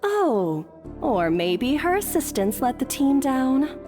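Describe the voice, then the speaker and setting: Smug, animated rival woman chef. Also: high-pitched, takes it slowly, in a large hall, loud music behind the voice, full character voice. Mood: smug, mocking. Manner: taunting, haughty. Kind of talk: video game voice acting.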